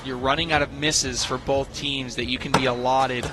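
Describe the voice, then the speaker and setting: A man's voice: medium pitch, level tone, moderate pace. A man working as a color commentator on a live championship broadcast, explaining the stakes with dramatic emphasis. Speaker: color analyst sports commentator. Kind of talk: live TV analysis of a cornhole championship. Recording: clear venue noise behind the voice. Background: noisy venue ambience.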